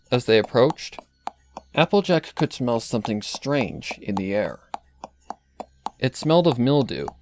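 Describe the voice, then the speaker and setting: A man's voice: mid-range voice, a little mellow, a little hiss or hum. A man reading scripted story narration as the narrator of a piece of fan fiction.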